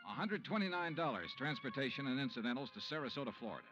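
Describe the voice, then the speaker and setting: Old radio recording with prominent music, and an actor as a wry male freelance investigator. He is world-weary and dry, clipped in his phrasing, yet very varied in pitch, in classic 1950s detective drama style. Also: big pitch swings, racing, muffled recording, lightly acted. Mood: wry, narrating. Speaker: lead actor and first-person narrator.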